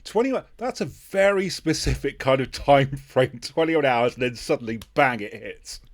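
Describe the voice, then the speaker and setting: A man hosting a casual interview, speaking hesitantly and encouragingly and often trailing off softly. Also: medium pitch, expressive, moderate pace. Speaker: podcast host, interviewer.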